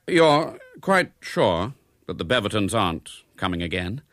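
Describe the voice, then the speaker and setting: A man's voice: lowish voice, very animated, unhurried, fairly bright tone, dry recording, studio quality. A man voicing a stern, impatient middle-aged husband and father in a storybook.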